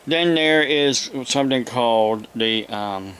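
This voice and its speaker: An American man hosting an informal, unscripted hobby vlog, talking in a halting, rambling way with long pauses. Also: medium pitch, a bit bright, smooth. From United States. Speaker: YouTube radio hobby host.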